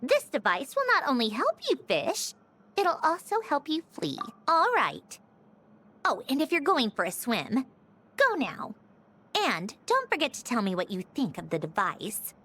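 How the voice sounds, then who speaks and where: A woman's voice: high voice, huge pitch swings, measured pace, a bit bright, exaggerated voice. An actress playing a matter-of-fact young woman inventor in a video game. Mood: brisk, advising. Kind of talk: animated video game voice acting. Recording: faint music behind.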